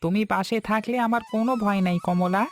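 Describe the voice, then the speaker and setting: A man's voice: sits high, fairly even intonation, a little fast, soft background music, close to an everyday voice. An actor playing a weary, poor middle-aged fisherman, a worried and devoted husband, in a dramatic cartoon folk tale. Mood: reassuring.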